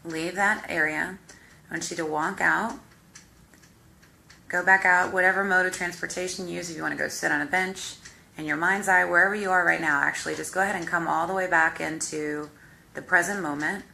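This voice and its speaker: Deep-voiced lady hypnotherapist, bright forceful tone, slow calm step by step guidance. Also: vocal fry. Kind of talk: guided visualization exercise.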